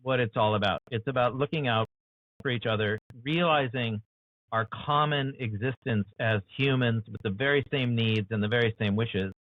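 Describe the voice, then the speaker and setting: An American man talks earnestly as an author in an interview, leaning on "you know" as he builds his point. His voice sits at an ordinary middle pitch. The sound is dry and thin, like a narrow phone line from a video call. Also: moderate pace, no echo. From United States. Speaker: author guest.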